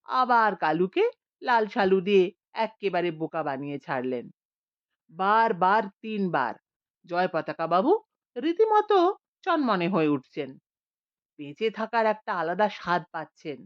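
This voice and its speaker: A woman narrating a comic story expressively, with theatrical emphasis and dramatic pauses. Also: fairly low pitch, muffled recording.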